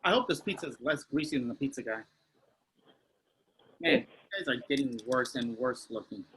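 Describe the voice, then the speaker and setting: Tactless, clueless sitcom man, read by a man, animated mid-pitched delivery, faint noise. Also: normal speed, low-quality recording. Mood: insulting. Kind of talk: amateur table read acting.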